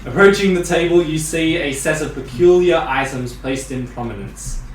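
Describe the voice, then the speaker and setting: A man's voice: on the high side, normal speed, reverberant, recorded from a distance, slight background noise, rough recording. A man narrating and describing scenes as game master of a tabletop game. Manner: descriptive storytelling, laughs with players.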